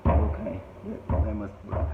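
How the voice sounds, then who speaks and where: A man with a croaky voice casually walking through an engine repair, talking in halting, plain phrases with restarts. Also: lowish voice, very animated, narrow, tinny audio. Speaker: boat owner showing a repair. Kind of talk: casual how-to demonstration. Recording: loud background noise.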